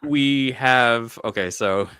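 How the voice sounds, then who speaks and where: A man's voice: medium pitch, takes it slowly, sharp tone, clear, resonant tone, dry recording. A man hosting a podcast, chatting and explaining things in a casual film discussion. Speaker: podcast host.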